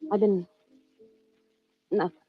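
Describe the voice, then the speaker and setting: An actress playing a tough, hot-tempered dame in an old-time radio noir drama, with clipped, needling speech. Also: female voice, slow, lightly acted. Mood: uneasy. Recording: muffled old radio recording, loud music.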